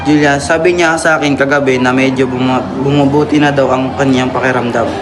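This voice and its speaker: A man's voice: medium pitch, fairly even intonation, a little fast, noisy background, lightly acted. A man with a Filipino accent playing a dutiful, caring eldest son in an amateur radio drama. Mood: caring, responsible. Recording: loud music under the voice.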